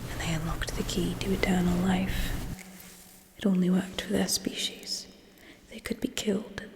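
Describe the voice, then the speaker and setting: Girl doing a scheming alien ruler for asmr, slow breathy whisper, cold and condescending, long echo. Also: on the low side. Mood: sly storytelling. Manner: slow, deliberate, hushed, coolly condescending. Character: ruthless, scheming female alien leader, coolly menacing. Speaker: ASMR performer.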